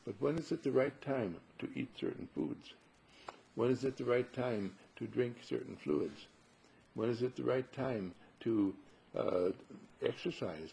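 A man speaking as a spiritual teacher in a husky, gravelly voice, posing rhetorical questions and repeating phrases for emphasis. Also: mid-range voice, dark tone, slight background noise. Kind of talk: spiritual talk with parables.

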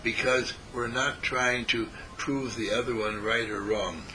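A man giving a talk through an interpreter, speaking in short, deliberate phrases and pausing for translation. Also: medium pitch, fairly even intonation, pushed and bright, a little hiss or hum, poor audio. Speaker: speaker or teacher.

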